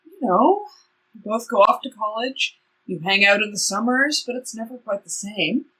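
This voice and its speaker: A woman's voice: fairly high pitch, expressive, normal speed, bright, forceful tone, husky, full character voice, low-quality recording. A woman playing a very old, polite and wistful woman in a stage play reading.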